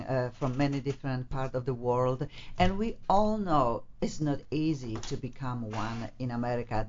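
A woman's voice: deep voice, a little mellow, lo-fi sound. Bubbly Polish-accented female co-host. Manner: bubbly, laughs often, broken English. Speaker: radio co-host. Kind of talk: casual radio chat. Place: radio studio.

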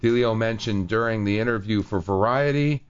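A man's voice: fairly low pitch, normal speed, clear, resonant tone, dull audio. A man hosting a radio talk show, chatting casually and reading quotes aloud with many fillers. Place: radio studio.

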